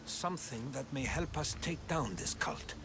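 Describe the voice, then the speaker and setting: A man's voice: medium pitch, big pitch swings, over music, close to an everyday voice. Earnest, learned ancient Greek man, played by a man. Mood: earnest, persuading. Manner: grave, measured, storytelling delivery. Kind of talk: dramatic video game acting.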